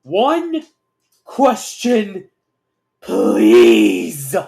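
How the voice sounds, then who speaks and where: A man's voice: mid-range voice, huge pitch swings, very slow, gravelly, crowd noise, exaggerated voice. A man voicing a hot-tempered, wary alien man in a storybook reading, commanding and exasperated. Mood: angry shouting.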